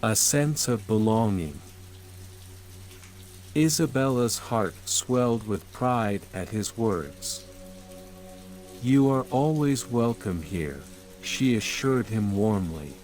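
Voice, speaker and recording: Low, very slow male bedtime narrator. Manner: calm, measured, unhurried storytelling. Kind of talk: slow, soothing scripted narration of a sleep story. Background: calm music and rain sounds.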